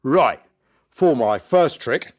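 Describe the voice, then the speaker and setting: A man's voice: medium pitch, expressive, slow, voice pushed up. A man voicing a nasty, blustering party magician with sneering showman patter in a children's storybook. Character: nasty loud male children's party magician, mocking and cowardly.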